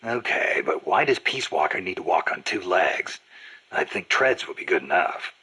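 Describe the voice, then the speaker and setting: A man playing a gruff, battle-hardened American soldier in a video game, skeptical, terse and blunt, with a raspy growl. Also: sits low, expressive, normal speed, pushed and bright, heavily acted. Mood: focused. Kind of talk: video game dialogue acting.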